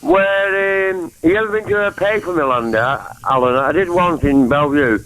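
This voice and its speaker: Rambling Mancunian caller. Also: male voice, a little high, animated, normal speed, a bit bright, low-quality recording. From England. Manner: rambling, jumps between topics. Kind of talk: rambling phone-in call.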